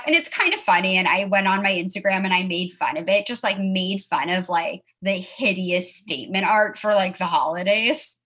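A woman in her thirties chatting casually as host, full of "like" and quick asides. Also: medium pitch, pushed and bright, husky. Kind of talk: casual chat.

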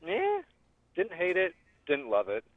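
A man's voice: sits high, wildly swooping pitch, unhurried, no room sound. Man, podcast caller, muffled phone audio, dry sound, casual reviewing. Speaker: caller giving a film review. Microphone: telephone. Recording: dull, dry, phone-line voicemail.

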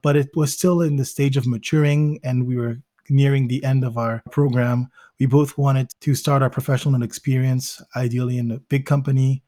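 A man's voice: mid-range voice, steady tone, normal speed, few pauses, dark tone, no echo. A young man from Belgium, a podcast guest telling his life story in a thoughtful way, often restarting phrases as he searches for words. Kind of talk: conversational interview storytelling.

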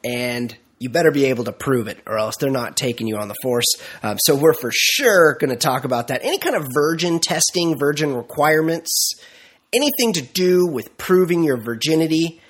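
A man's voice: a little high, animated, normal speed, fairly bright tone, husky. A male podcast host with a cold, talking in a nasal, congested voice and rambling through casual, mock-dramatic banter.

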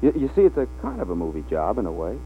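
An actor playing an easygoing, kindly movie-studio pal in a 1940s radio drama, on a tinny, humming recording. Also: male voice, fairly low pitch, wildly swooping pitch, quick pace, gravelly, a little hiss or hum, heavily acted. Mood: hesitant.

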